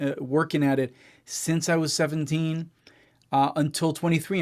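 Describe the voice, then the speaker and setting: Relaxed American-sounding male musician. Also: a little high, smooth. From Israel. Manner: relaxed, self-deprecating, halting with many "uh"s. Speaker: guest musician and producer. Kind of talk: casual interview talk.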